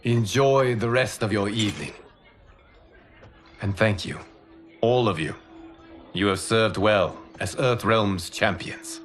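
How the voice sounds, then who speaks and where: An actor playing a calm, noble guardian deity and mentor in a video game, grave and gracious, with formal, measured phrasing. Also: male voice, lowish voice, faint music behind. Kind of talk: cinematic game cutscene acting.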